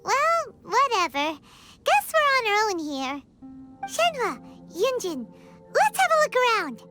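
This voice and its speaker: A woman's voice: extremely high pitch, big pitch swings, takes it slowly, sharp tone, soft background music, exaggerated voice. A woman voicing a small, childlike, inquisitive fairy companion in a video game, chirpy and exclaiming. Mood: perky, puzzled.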